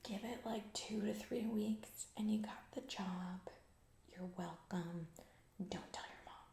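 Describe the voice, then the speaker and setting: A woman's voice: medium pitch, steady tone, takes it slowly, gravelly, a little mellow, echoey room. A woman playing a distracted, callous corporate CEO in a comedy ASMR roleplay, rambling breezily in corporate-speak and trailing off. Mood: casually scheming.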